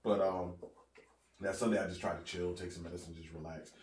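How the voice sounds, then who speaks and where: A man's voice: deep voice, little pitch movement, fairly quick, darkish tone, roomy sound, recorded from a distance, slight background noise. A man from North New Jersey hosting a casual podcast chat, slangy and rambling, a bit congested and sniffling from allergies. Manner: laid-back, slangy, rambling with fillers. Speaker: podcast host.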